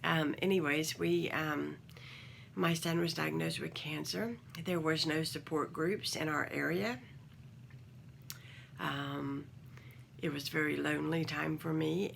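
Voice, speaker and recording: A woman in her forties, hosting her own channel, talking casually to viewers from home in a croaky morning voice, rambling with many pauses and fillers. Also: low voice, slight background noise. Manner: warm, rambling, many ums. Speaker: channel host. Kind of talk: casual talk to camera.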